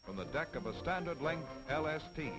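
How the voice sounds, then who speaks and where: A man's voice: on the high side, expressive, brisk, loud music behind the voice. An American man narrating a scripted 1940s military newsreel in a formal, declamatory announcer style on an old film soundtrack.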